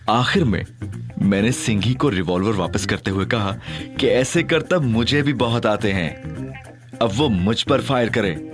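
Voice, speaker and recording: An actor playing a cocky young male detective in an audio drama, teasing and theatrical, also narrating in first person. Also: medium pitch. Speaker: Ali Imran.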